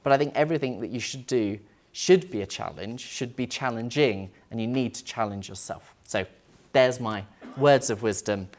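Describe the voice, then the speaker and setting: A man's voice: mid-range voice, normal speed. Earnest man speaking as a museum curator. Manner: earnest and reflective, rhetorical questions. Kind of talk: panel talk.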